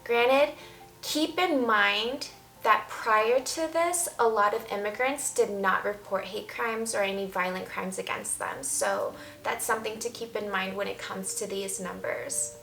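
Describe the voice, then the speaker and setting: A woman's voice: mid-range voice, animated, measured pace, creaky. A woman talking casually and candidly as a vlogger, giving emphatic, opinionated views. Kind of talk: casual opinion talk to camera. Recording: roomy echo, faint background music. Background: soft background music.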